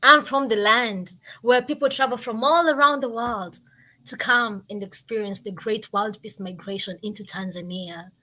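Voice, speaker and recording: Young woman, Kenyan accent, higher-pitched bright voice, inspirational storytelling, emphatic repetition, deliberate pauses. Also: rough recording. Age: 20s. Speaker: guest, motivational speaker.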